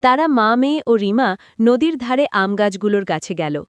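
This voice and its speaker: A woman's voice: on the high side, animated, normal speed, clean tone, no echo. A woman reading scripted narration for a cartoon moral story.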